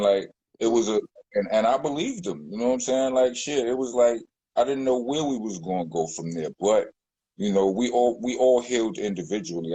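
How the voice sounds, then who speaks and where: A man's voice: warm tone, breathy edge, no echo, poor audio. A man, a rapper talking in a loose, rambling way full of fillers and restarts in an interview. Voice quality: deep voice. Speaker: rapper, guest. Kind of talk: casual interview talk.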